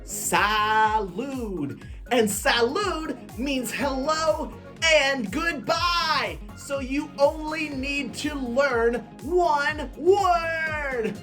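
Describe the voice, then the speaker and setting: A man's voice: very high-pitched, lively intonation, drawn-out words, bright, forceful tone, pitched above the performer's own voice, full character voice. A man voicing a cheerful bookworm who teaches French words to children in a bouncy, exaggerated, sing-song way. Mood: cheery. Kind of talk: children's show puppet segment. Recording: roomy echo, faint music.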